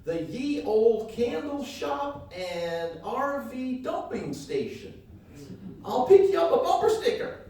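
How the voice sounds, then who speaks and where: A man's voice: extremely high pitch, big pitch swings, slow, darkish tone, heavily acted. A man playing a folksy, rambling country travel reporter with a hesitant drawl in a live comedy radio play. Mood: folksy enthusiasm. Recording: echoey live hall, distant mic.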